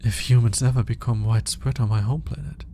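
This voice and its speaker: A man's voice: medium pitch, steady tone, mellow, a little hiss or hum, close to an everyday voice. A man playing an awkward, analytical alien scientist in an intimate ASMR roleplay, speaking in formal, hesitant musings. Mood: hesitant, enchanted. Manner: hesitant, formal, articulate musing with sighs and pauses. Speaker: voice actor.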